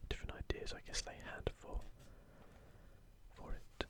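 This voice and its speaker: Soft breathy whisperer doing asmr, unhurried and informal, no real voice in it. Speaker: video host. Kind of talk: whispered ASMR, leafing through and commenting on an art book.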